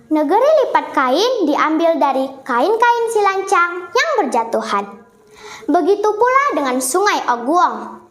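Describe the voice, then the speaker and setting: A young girl tells a folk tale as a dramatic storyteller. Her voice is very high and her delivery slow and measured. It is recorded in an echoey room. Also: fairly bright tone.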